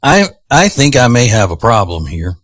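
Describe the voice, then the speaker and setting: A man's voice: mid-range voice, big pitch swings, normal speed, no echo, clean studio sound. A male radio host chatting casually on a morning show, his voice slightly strained. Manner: chatty, teasing, rambling. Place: radio studio. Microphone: studio microphone.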